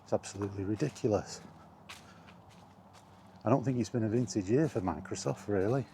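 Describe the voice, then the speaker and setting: A middle-aged man in an unhurried, halting, reflective solo chat as a gaming vlogger. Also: lowish voice, dark tone, slight background noise. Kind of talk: rambling casual chat about video games.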